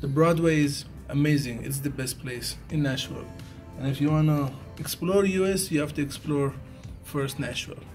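Man, thirties, fairly high voice, playful casual chat, music faint behind. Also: moderate pace, hardly any pauses, a little mellow. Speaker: Fulbright student.

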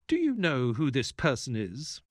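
An actor playing an eager, earnest detective's assistant who narrates an audio drama like a storyteller. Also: male voice, medium pitch, huge pitch swings, unhurried, no echo, clean studio sound. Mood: curious.

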